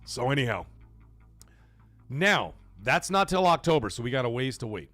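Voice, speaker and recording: A man hosting a casual gaming live stream, rambling excitedly with fillers and restarts, greeting chat viewers and swearing casually. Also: medium pitch, moderate pace, faint music behind. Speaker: livestream podcast host.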